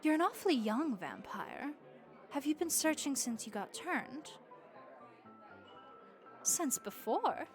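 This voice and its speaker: A woman's voice: high voice, sing-song, slow, long pauses, soft background music, full character voice. A woman playing a friendly, worldly vampire innkeeper in a comforting audio roleplay, chatty and encouraging with a wry, folksy way of talking.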